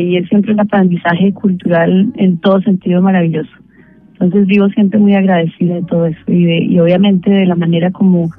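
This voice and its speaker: A middle-aged woman from Bogotá with a Colombian accent, a singer speaking as an interview guest, measured and grateful with frequent pauses. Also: medium pitch. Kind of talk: phone interview. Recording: tinny phone line with faint music.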